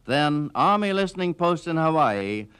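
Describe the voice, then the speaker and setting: A man's voice: a little high, sing-song, normal speed, fairly bright tone, clean tone. A man delivering dramatic scripted historical narration as a documentary narrator.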